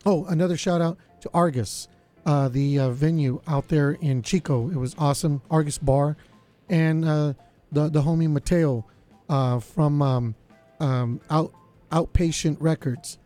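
A man hosting a college radio show, his voice slightly raspy, chatting casually with lots of fillers. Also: on the high side, unhurried, dark tone, soft background music. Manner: laid-back, lots of fillers. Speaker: radio DJ host.

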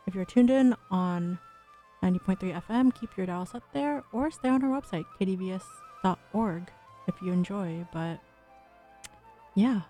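A woman's voice: medium pitch, expressive, normal speed, warm tone, soft background music. A young woman hosting a college radio show, talking casually between songs with lots of ums and little laughs. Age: young adult. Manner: chatty, frequent ums, giggles often.

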